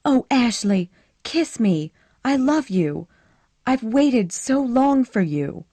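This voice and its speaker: A woman's voice: fairly high pitch, big pitch swings, slow, warm tone, dry recording, voice pushed up, full character voice. A woman reading the part of a passionate, impulsive young woman in a storybook, speaking in a breathless, pleading way with rising emotion.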